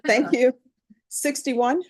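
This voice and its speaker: A woman's voice: high voice, fairly even intonation, slow pace, no room sound. A middle-aged woman from Louisiana with a Louisiana accent, a judge speaking to a jury panel in a courtroom in a plain, conversational storytelling manner.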